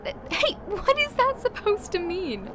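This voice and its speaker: Woman playing a high-voiced, bossy younger sister. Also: wildly swooping pitch, unhurried, noisy background, heavily acted. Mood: flustered. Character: playful, bossy younger sister, bantering and easily flustered. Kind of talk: audio drama dialogue.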